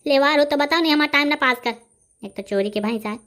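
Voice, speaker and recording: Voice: sits high, fast, bright, forceful tone. An actor with a Bundelkhandi accent voicing a brash, argumentative village man haggling in a comedy cartoon. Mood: brash, impatient.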